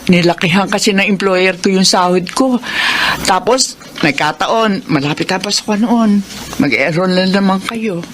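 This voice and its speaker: Isang babaeng aktres na Pilipina na gumaganap bilang ina sa drama sa radyo, taimtim, nag-aalala, nakikiusap at nanenermon. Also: female voice, medium pitch, normal speed, a little hiss or hum, close to an everyday voice. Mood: apologetic, sad. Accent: Filipino. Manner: earnest, pleading, scolding. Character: worried, pleading, strict mother back from working abroad. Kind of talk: Filipino radio drama acting.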